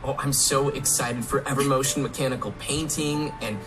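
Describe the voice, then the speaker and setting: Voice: medium pitch, fairly even intonation, normal speed. A presenter giving upbeat, chummy scripted patter on a game livestream program. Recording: music playing behind the voice.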